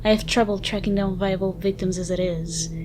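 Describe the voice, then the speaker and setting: A woman playing a haughty, sardonic ancient vampire with a soft side, speaking in wordy mock-formal lines in a dramatic ASMR roleplay. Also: mid-range voice, steady tone, brisk, natural voice. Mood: weary griping. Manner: theatrical, wordy, dry mock-formal speech. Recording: music behind the voice. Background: music.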